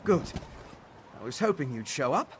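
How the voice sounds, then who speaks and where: A man's voice: medium pitch, very animated, moderate pace, soft background music, close to an everyday voice. A man playing an earnest, inquisitive scholarly ally in a fantasy video game, laying out quest details. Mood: intent, urgent.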